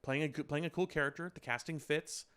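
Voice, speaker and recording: A man's voice: a little high, steady tone, quick pace, a bit bright, clear, resonant tone. A man co-hosting a podcast in a casual pop culture chat, talking emphatically and often repeating himself in agreement. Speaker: podcast co-host.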